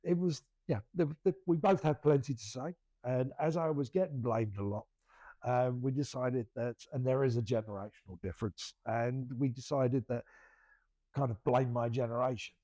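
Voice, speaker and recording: Grey-haired guy co-hosting, mellow low-key voice, dry close sound, lots of restarts. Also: normal speed, no echo, rough recording. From England. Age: middle-aged. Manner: rambling, many restarts, self-deprecating laughs. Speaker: podcast co-host. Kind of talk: casual chat.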